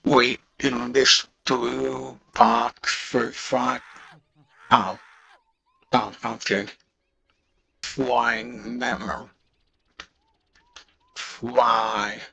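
Gravelly man in slow gameplay commentary, voice-changed. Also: medium pitch, little pitch movement, pushed and bright, a little hiss or hum. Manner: short, fragmented exclamations, often repeating words. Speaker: gamer recording gameplay.

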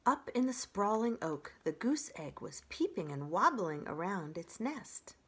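A woman in her forties reading a children's story aloud in an expressive narrating style. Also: medium pitch, a little slow, a little hiss or hum. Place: indoors by a brick fireplace.

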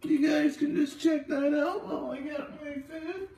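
A performer voicing a vain, sassy female house cat with a raspy, drawling voice, live-streaming from a home kitchen on a phone. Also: high voice, steady tone, dark tone, echoey room, slight background noise. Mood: smug. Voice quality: raspy, gravelly. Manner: slow, drawling, full of herself. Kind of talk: live-stream pet narration. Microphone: phone camera held by the speaker.

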